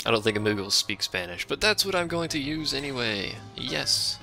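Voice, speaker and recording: A man with a deep voice giving casual, rambling commentary while he plays a game and chats with his stream viewers, offhand and self-deprecating. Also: animated, normal speed, creaky, bright, forceful tone, soft background music. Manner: chats with a live stream chat. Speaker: let's play streamer.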